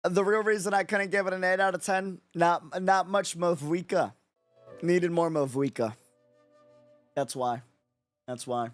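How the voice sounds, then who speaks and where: A man streaming casually late at night, his voice raspy and hoarse from no sleep, rambling and repeating himself with lots of fillers. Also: sits high, a little slow, long pauses, faint music behind. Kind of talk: casual livestream chat.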